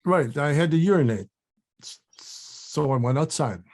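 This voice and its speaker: A man's voice: on the high side, animated, a little slow, a little mellow. An actor playing a grumpy, defensive older man in a comedy table read, speaking in a blunt, grumbling, sweary manner. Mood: wry, grumbling.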